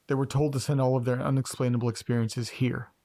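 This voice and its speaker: Actor as anxious, creaky-voiced man in horror drama. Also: medium pitch, level tone, quick pace, mellow, close to an everyday voice. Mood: puzzled, intrigued. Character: anxious, self-doubting man obsessed with eerie mysteries. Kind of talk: naturalistic horror audio drama dialogue.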